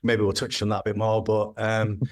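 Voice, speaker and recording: A man's voice: lowish voice, completely flat delivery, brisk. A man in his thirties, a graphic designer, talking casually in a podcast interview, rambling and restarting his sentences. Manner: rambling, hesitant, with frequent restarts.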